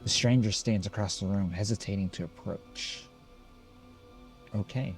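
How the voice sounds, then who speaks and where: A man's voice: on the low side, unhurried, faint music behind. A man doing let's play commentary, reading game text aloud and adding casual remarks. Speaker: gameplay video host.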